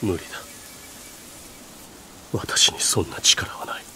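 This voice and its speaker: An actor playing a weary, guilt-ridden lord in a video game, speaking gravely and slowly, often sinking toward a whisper. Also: male voice, pushed and bright, a little hiss or hum. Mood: anguished, weary. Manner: slow, grave, half-whispered confession. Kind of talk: Japanese video game voice acting.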